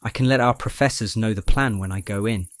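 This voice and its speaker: A man acting a gently teasing, bookish young roommate in an audio drama, with measured, slightly formal phrasing. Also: on the low side, no room sound, close to an everyday voice. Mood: calm, inviting.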